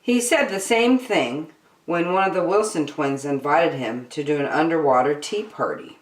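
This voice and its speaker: A woman reading a children's picture book aloud as host, deliberately phrase by phrase, in an echoey room. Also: sits low, expressive. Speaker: storytime host and reader. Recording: roomy echo.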